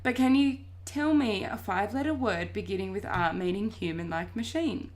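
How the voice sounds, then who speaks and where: A woman voicing a brisk, matter-of-fact mum in a storybook, gently bossy and unbothered. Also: medium pitch, lightly acted.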